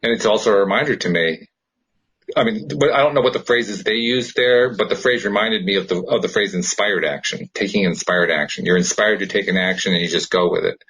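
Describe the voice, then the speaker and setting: A man co-hosting a podcast in casual, reflective conversation, thinking aloud in long explanations with a husky voice. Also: on the low side, a little fast, fairly bright tone, poor audio. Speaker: podcast co-host.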